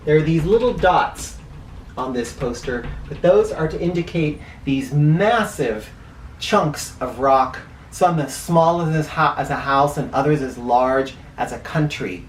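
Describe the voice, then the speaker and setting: A man reading a picture book aloud to children as host, deliberate and explanatory, with informal teaching asides. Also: fairly high pitch, animated. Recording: roomy echo, distant mic, faint noise.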